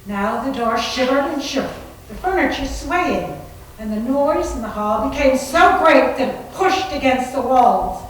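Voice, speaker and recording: A woman's voice: sits high, lively intonation, a little slow. A woman giving dramatic narration of a story in a live readers theater performance. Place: library room, live staged reading. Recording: very echoey room, far from mic, faint noise.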